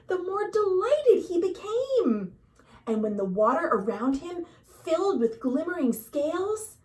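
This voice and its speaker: Children's librarian storytime read: woman, high voice, unhurried, dramatic delivery aimed at young listeners. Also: recorded from a distance, rough recording. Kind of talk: expressive picture-book read-aloud for children. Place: at home.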